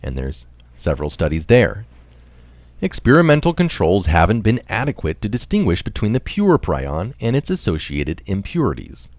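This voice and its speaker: Male article reader, deep register with lively intonation, scripted science narration, very dry sound. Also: moderate pace, no echo.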